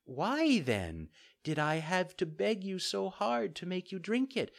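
A man voicing a patient, motherly fairy in a soft, loving, coaxing way for a storybook. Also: high voice, sing-song, takes it slowly, gravelly, pitched above the performer's own voice, full character voice. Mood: tender teasing.

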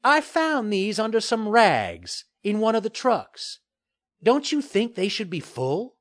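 A man's voice: high voice, huge pitch swings, slow, pitched above the performer's own voice, exaggerated voice. A man voicing a cheerful, sly female TV reporter in an audiobook story, with a pointed manner.

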